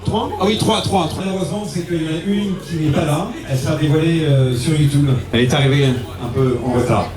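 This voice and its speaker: A man's voice: fairly high pitch, quick pace. A man chatting loosely at a car event, mocking himself. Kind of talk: casual chat at car event. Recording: echoey with music.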